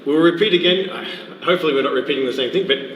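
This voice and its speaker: A man's voice: on the high side, racing, a bit bright. A man hosting a casual live religious interview, informal and often repeating himself to confirm things. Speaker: host, interviewer, missionary. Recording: echoey hall, distant mic, faint noise.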